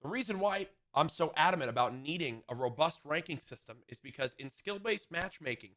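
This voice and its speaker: A man's voice: on the high side, animated, fairly quick, bright, forceful tone. A man arguing insistently in streamer commentary. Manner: insistent, argumentative.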